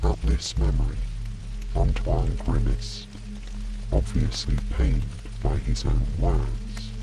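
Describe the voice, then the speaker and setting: A man gently and soothingly narrating a calm bedtime story in an unhurried, soft voice. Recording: rain and music behind. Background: steady rain, soft music.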